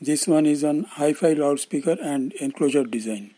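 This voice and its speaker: Old indian guy explaining stuff from a script, soft mellow tone, slightly high voice, kinda formal. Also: moderate pace, dry recording, soft background music. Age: older, around 70s. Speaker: presenter of loudspeaker-making videos.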